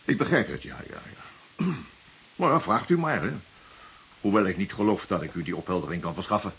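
An anxious, well-off middle-aged gentleman in a radio play. His lowish voice is very husky and rises and falls freely. He hesitates, stammers and repeats himself. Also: very animated, brisk, exaggerated voice. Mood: flustered. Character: flustered, anxious well-to-do father, art collector, protective of son. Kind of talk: Dutch radio play acting.

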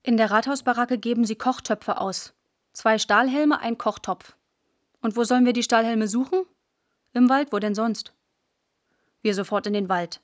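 An actress plays a postwar schoolgirl narrating a radio play. Her voice is fairly high and recorded close and dry. She tells things plainly and vividly, in short matter-of-fact phrases. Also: moderate pace, long pauses, no room sound, lightly acted. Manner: plain, clipped, vivid storytelling. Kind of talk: first-person scripted radio drama narration.

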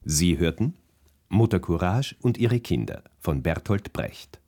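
A man's voice: sits low, sing-song, measured pace, mellow. A man reading scripted radio drama credits as an announcer.

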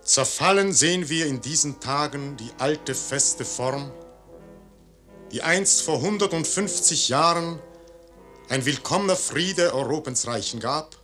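An actor reciting a solemn verse prologue in a grave, elevated manner. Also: male voice, a little high, animated, measured pace, pushed and bright, soft background music. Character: solemn male prologue speaker.

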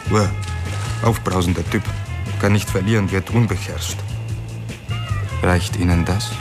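Low smooth gangster boss, mocking n theatrical, radio play w music behind. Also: male voice, fairly even intonation, measured pace. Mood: teasing, sardonic. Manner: mocking, theatrical, teasing menace. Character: smooth, sardonic male underworld gambling boss. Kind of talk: German radio drama acting.

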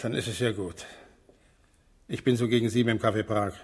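An actor playing an obliging master car mechanic in a radio crime drama, clipped and matter-of-fact. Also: male voice, fairly low pitch, fairly even intonation, fairly quick, lightly acted. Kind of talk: 1970s German radio crime drama acting.

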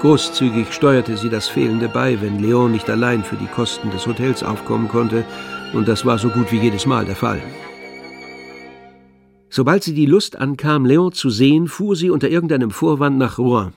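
An actor playing the detached, wry narrator of a literary radio play, with a gravelly voice. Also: male voice, mid-range voice, normal speed, over music, natural voice.

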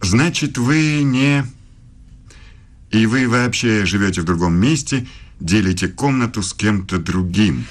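An actor playing a suave middle-aged philosophy professor in a radio play, speaking in a measured, formal, probing way. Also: male voice, fairly low pitch, expressive.

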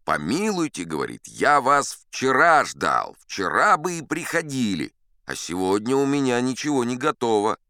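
A man's voice: mid-range voice, big pitch swings, moderate pace, pushed and bright, no echo, clean studio sound, pitched above the performer's own voice. A man voicing a fussy male tailor who makes excuses in a storybook reading. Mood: protesting. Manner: protesting, excuse-making.

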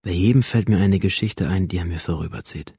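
Brooding detached student, really husky and low, radio play monologue, measured and matter of fact. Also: male voice, warm tone, dry recording, exaggerated voice. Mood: brooding, hesitant. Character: brooding, detached male school student narrating his fatal decision. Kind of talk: first-person literary monologue, radio drama reading.

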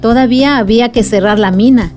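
An actress playing a gentle aunt telling a story to a child in a radio drama. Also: female voice, fairly high pitch, brisk, faint music behind, natural voice. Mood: gentle, reflective.

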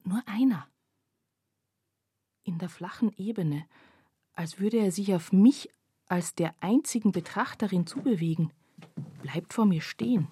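A woman playing a pensive first-person narrator in a literary radio play, speaking in a measured, reflective way. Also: medium pitch, mellow, slightly rough, no echo. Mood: pensive, uneasy.

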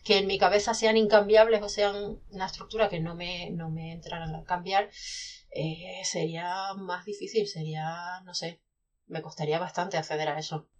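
A woman's voice: fairly low pitch, fairly even intonation, normal speed. A woman, a self-published novelist, talking animatedly in a casual podcast conversation with many restarts.

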